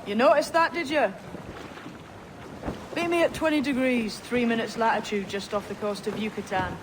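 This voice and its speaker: A shrewd young pirate in disguise, acted by a woman, speaks in a high, boyish register. The delivery is guarded yet earnest and colloquial, in the manner of a dramatic video game, with faint environmental noise behind it. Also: moderate pace, natural voice. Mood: guarded, brisk. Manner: plain-spoken, colloquial.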